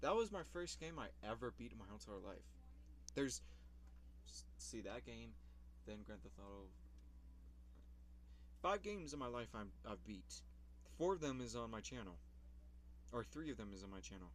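A man's voice: medium pitch, big pitch swings, moderate pace, pauses often, a little hiss or hum. A young man in casual vlog commentary, rambling about his old videos, swearing casually and laughing at himself.

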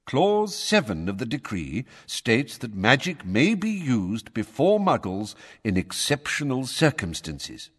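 A man voicing an old wizard who speaks mildly, politely and in measured sentences. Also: mid-range voice, huge pitch swings, husky, long reverb tail, voice pushed up, full character voice. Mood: calm, courteous. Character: calm, courteous old male wizard, quietly firm.

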